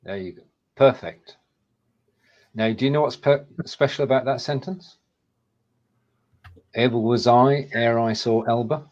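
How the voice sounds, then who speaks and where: A man's voice: medium pitch, a little slow, pauses often, a little mellow, muffled recording. A man with a Lancashire accent co-hosting a casual live-stream chat, playful and jokey, stumbling over his restarts. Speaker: co-host.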